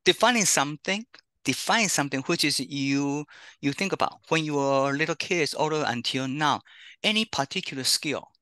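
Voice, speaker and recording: A man's voice: a little high, normal speed, bright, forceful tone, no echo, studio quality. A middle-aged man from Taiwan with a Taiwanese accent, Chinese as his first language, presenting an online career workshop as a counselor and often tagging "okay, huh?". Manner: chatty, frequent "okay, huh?" tags. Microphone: video call.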